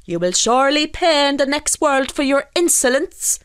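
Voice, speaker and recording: A woman's voice: on the high side, slow pace, no room sound, studio quality, pitched above the performer's own voice. A woman voicing a hot-tempered peasant fisherman in a storybook tale, with folksy, emphatic, exclamatory speech. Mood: furious. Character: hot-tempered Irish peasant fisherman, grown man, blustering and wheedling. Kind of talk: storybook character voice.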